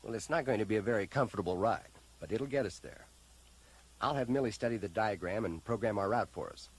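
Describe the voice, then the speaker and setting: An actor in a science fiction audio drama playing a resolute, practical man leading an escape, brisk and commanding. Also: on the low side, breathy edge, low-quality recording. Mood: calm, explaining.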